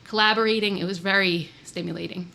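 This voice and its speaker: A woman's voice: medium pitch, fairly even intonation, quick pace, gravelly, bright, forceful tone. A woman raised speaking Swiss German at home, a wildlife biologist chatting as a guest in a casual interview, upbeat and easygoing. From born in Switzerland, raised in the US. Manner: chatty and upbeat, with frequent fillers.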